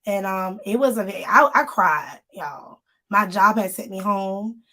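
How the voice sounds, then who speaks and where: A woman co-hosting a casual live-stream chat, talking straight to her viewers, her voice slightly hoarse as it recovers. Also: mid-range voice, a little slow, pushed and bright. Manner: chatty, addresses viewers directly. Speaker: magazine co-host.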